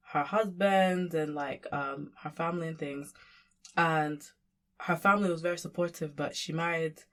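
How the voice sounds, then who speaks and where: A young woman from Sudan, a guest and community worker, talking in a casual conversation with many fillers and restarts. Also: deep voice.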